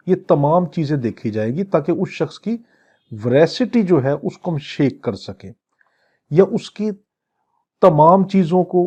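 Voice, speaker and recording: A man giving a law lecture, reading English statute lines slowly and then explaining them. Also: a little high, mellow, highs cut off.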